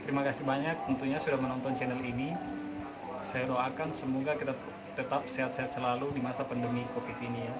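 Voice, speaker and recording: A man's voice: mid-range voice, little pitch movement, fast talker. Chatty man, dull lo-fi sound, music under. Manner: friendly, chatty, frequent "eh" hesitations. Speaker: host. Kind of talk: casual vlog talk and online interview.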